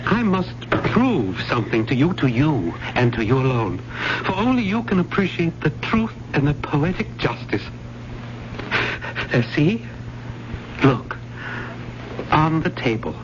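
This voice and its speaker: An actor playing a volatile, needy, murderous professor in a theatrical, insistent old-time radio drama style. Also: male voice, fairly high pitch, very animated, a little slow, pauses often. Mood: menacing, intense. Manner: theatrical, insistent, repeating himself. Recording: muffled, very noisy old radio recording.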